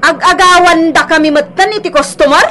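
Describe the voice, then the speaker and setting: A woman playing a bossy, quick-tempered grocery owner, scolding and nagging with an Ilocano accent in a radio drama. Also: very high-pitched. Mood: angry quarrelling.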